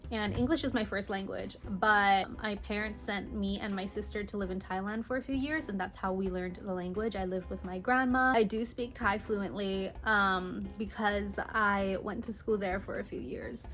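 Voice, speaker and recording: A young American woman in her mid-twenties talks to her viewers in a casual question-and-answer vlog. Her voice sits at an average pitch for a woman. She speaks informally with girly slang, and soft music plays behind her. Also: steady tone, normal speed, few pauses. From Hawaii, United States. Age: mid-20s. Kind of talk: casual chatty Q&A to camera.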